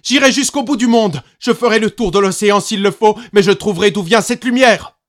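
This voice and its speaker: A man voicing a young boy who is excitable and defiant, speaking high and urgent in a storybook reading. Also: measured pace. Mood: excited pleading.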